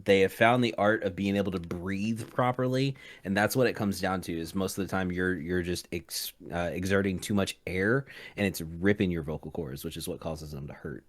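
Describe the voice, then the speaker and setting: A man's voice: sits low, normal speed, few pauses. A man on a roundtable panel, chatting casually and offering practical tips. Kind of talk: casual chat, giving tips.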